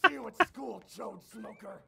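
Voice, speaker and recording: A man's voice: high-pitched, normal speed, gravelly, bright, forceful tone, heavily acted. A man playing a hot-headed, foul-mouthed teenage boy bully in a comedic anime parody, snapping aggressively. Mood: angry.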